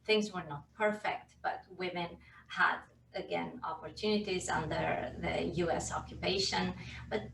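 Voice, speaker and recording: Female interview host, lowish voice, conversational questioning, recorded in a roomy echo. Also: steady tone, normal speed, gravelly. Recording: echoey, distant, faint background noise.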